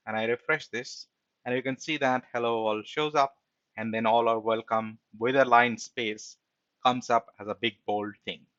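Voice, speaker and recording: A man's voice: medium pitch, bright, forceful tone, dry recording, dull audio. A man giving an informal screen-share programming tutorial as an instructor, explaining step by step and often checking in with a short tag question.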